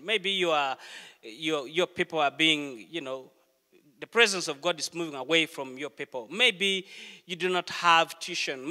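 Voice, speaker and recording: A middle-aged man preaches in a church, his bright, ringing voice carrying a long hall reverb. He speaks in a fairly high register, telling stories earnestly and pausing deliberately between phrases. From Uganda. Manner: earnest storytelling with deliberate pauses. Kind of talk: sermon.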